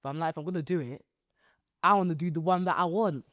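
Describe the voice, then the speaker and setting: A woman co-hosting a podcast in casual chat, talking through a blocked nose from hay fever, lively and laughing often. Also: deep voice, fast, dry recording. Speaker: podcast co-host.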